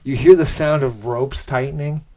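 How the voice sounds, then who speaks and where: A man co-hosting a podcast, informally reading out a pitch amid banter and often checking in with "right?". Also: fairly even intonation, normal speed, darkish tone. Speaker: podcast co-host pitching a movie trailer. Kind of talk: casual group banter, reading out a scripted pitch.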